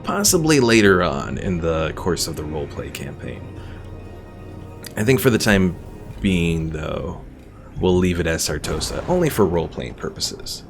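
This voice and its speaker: A man's voice: deep voice, sing-song, a little slow, gravelly, music in the background. A man giving casual, easygoing live game commentary, thinking aloud and reading out chat as a streamer.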